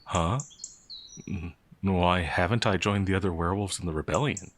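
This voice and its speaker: A man's voice: low voice, moderate pace, no room sound. A man playing a timid, innocent werewolf farmhand in an audio roleplay, speaking in a hesitant, stammering, naively earnest way. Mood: scared, sheepish. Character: timid, gentle, innocent male werewolf farmhand, older than he appears. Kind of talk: audio roleplay character acting.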